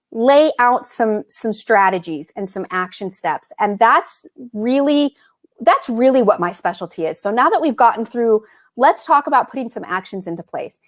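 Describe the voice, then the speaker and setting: A woman business coach hosting a live online Q&A, talking fast and encouragingly while fighting a cold. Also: fairly high pitch.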